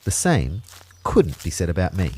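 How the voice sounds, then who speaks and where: A man's voice: low voice, huge pitch swings, normal speed, a little mellow, husky, full character voice. An actor playing an anxious everyman who narrates a sci-fi audio drama in a wry, understated way. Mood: wry, uneasy. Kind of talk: scripted first-person audio drama narration.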